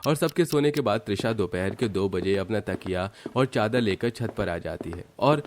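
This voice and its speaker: A man reading scripted narration for an animated story. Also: on the low side, moderate pace, a little hiss or hum.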